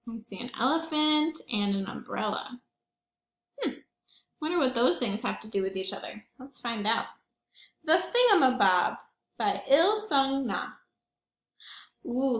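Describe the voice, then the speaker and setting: A woman's voice: fairly high pitch, fairly bright tone, echoey room. A woman reading picture books aloud to young children, expressively and with questions for her listeners. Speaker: children's read-aloud host, teacher or librarian.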